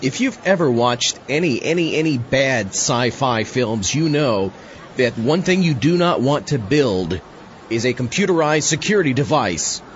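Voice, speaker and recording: A wry sidekick and host played by a man on a comic podcast. His mid-pitched voice moves up and down freely, chatty and exasperated. The recording is a touch muffled with faint noise. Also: animated, a little slow, close to an everyday voice. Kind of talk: comic podcast hosting in character.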